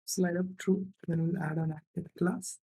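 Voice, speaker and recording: Guy doing a casual coding tutorial, soft dark mellow voice, walks thru each step. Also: high-pitched, flat delivery, moderate pace, husky. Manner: instructional, often ends with "right?" or "okay". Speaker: programming tutorial instructor. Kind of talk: informal step-by-step screencast narration.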